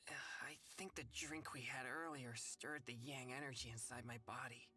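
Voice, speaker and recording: A polite young exorcist in an anime game, earnest and kinda naive, formal wording. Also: male voice, medium pitch, moderate pace, bright, forceful tone. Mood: faint, apologetic. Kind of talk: anime-style game voice acting.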